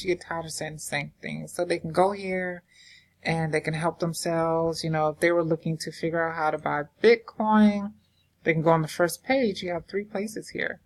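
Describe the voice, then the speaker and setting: A woman with a Southern American accent, chatting informally through a screen-share walkthrough, rambling with fillers and restarts. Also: low voice. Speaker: crypto investing vlogger. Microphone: desktop microphone.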